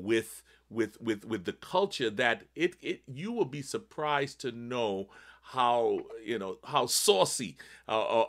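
A man's voice: medium pitch, a bit bright. A man from Trinidad on a church panel, rambling with many restarts. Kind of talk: panel discussion.

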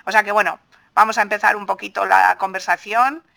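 A woman's voice: mid-range voice, steady tone, fairly quick, creaky, pushed and bright. A woman with an accent from Spain, hosting a podcast in a casual, informal interview style. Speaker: podcast host.

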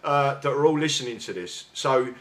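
A man's voice: mid-range voice, animated, moderate pace, a bit bright. A man with a South London accent hosting a radio show, talking in a casual, hesitant way with many restarts. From England. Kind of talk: casual interview chat. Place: radio studio. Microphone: studio mic with big headphones.

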